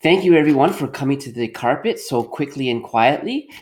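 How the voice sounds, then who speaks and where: A man's voice: medium pitch, lively intonation, fairly quick, darkish tone. A middle-aged man leading an interactive read-aloud for young children as their teacher, encouraging them and repeating his questions. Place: quiet workshop at home.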